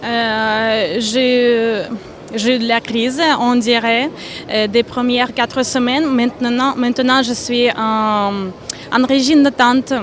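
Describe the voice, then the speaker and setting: A woman's voice: fairly high pitch, fairly even intonation, slow. A woman from Ukraine with a Ukrainian accent, answering earnestly and searching for words in French. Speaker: interviewee. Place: outdoor fair stand.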